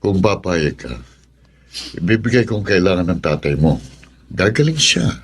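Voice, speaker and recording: Casting: lovestruck married man in radio drama; low, husky, widely swinging delivery. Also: animated, moderate pace, a little hiss or hum. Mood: coaxing, insistent. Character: lovestruck married man, pushy suitor who later repents. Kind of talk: Filipino radio drama acting.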